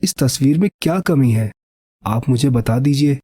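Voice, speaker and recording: A man plays a poor young village painter in a cartoon tale, earnest and devoted. His voice is mellow and a little husky at a middle pitch, recorded dry. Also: animated, normal speed, no room sound. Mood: proud, firm.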